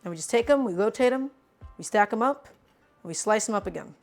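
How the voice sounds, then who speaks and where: A woman's voice: mid-range voice, animated, brisk, soft background music. A woman in her twenties hosting a casual cooking livestream, teasing her viewers and explaining each step while she chops. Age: 20s. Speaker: cooking streamer.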